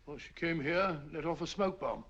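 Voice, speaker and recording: An actor playing a fussy, stammering doctor in an old radio drama. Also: male voice, on the high side, lively intonation, normal speed, fairly bright tone. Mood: flustered. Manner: fussy, stammering, easily rattled. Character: flustered bumbling male doctor companion, middle-aged. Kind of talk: 1940s radio acting. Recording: muffled old radio recording with faint hiss.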